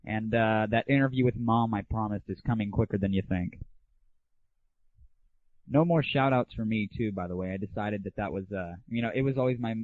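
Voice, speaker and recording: A man hosting a gaming audio show, giving casual, slangy, opinionated and irreverent reviews. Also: fairly low pitch. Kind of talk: casual solo rant and game review. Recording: narrow, phone-like 1990s internet audio.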